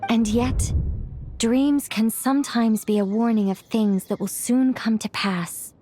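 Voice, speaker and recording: A woman voicing a mysterious, composed advisor in a video game, deliberate and cryptic. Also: a little high, steady tone, dark tone, faint music behind, natural voice. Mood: knowing. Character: mysterious, composed female advisor giving ominous counsel. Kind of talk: video game cutscene acting.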